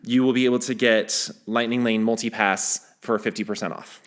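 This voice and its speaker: A man's voice: lowish voice, fairly even intonation, normal speed. A man hosting a podcast, sharing news in a casual, conversational way, teasing his co-host and restarting his sentences as he goes.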